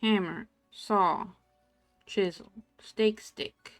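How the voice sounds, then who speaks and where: A German woman speaks English with a blended, hard-to-place accent, chatting to viewers as she plays. She talks slowly and murmurs her way through tasks. Soft music plays faintly behind her. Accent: mixed English accent, no clear origin. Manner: slow, thinking aloud while playing, low-energy murmurs. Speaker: streamer. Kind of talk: casual game livestream chat.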